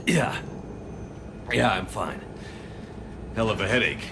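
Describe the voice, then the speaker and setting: An actor playing a steady, resolute male commander with a low, understated delivery. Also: slow. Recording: game audio with clear background noise.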